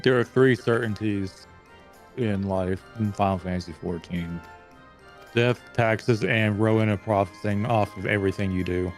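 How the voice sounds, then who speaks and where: Male gaming buddy, fairly low voice, casual stream banter with light background music. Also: normal speed. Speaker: friend co-playing on stream. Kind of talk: casual chat.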